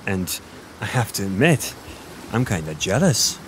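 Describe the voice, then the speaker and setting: A man playing a flirty, boastful Irish innkeeper, chatting in a meandering, intimate roleplay monologue with thoughtful pauses. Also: fairly low pitch, sing-song, a little hiss or hum. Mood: playful, flirty.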